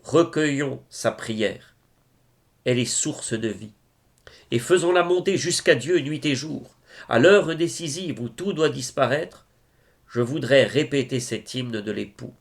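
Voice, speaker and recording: A man reciting devotional poetry in a solemn style, with measured, reverent phrasing. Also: medium pitch, creaky. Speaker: reader of religious poetry.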